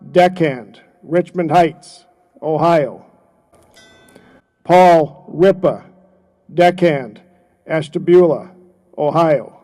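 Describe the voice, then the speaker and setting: A man solemnly reading a roll of names at a memorial ceremony, slow and measured. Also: fairly high pitch, a little mellow.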